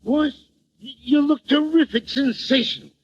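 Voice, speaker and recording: An actor playing an eager, devoted male sidekick in an old-time radio comedy, excitable, slangy and coaxing. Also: very high-pitched, moderate pace, over loud music. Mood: excited, encouraging. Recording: dull, hissy vintage radio recording.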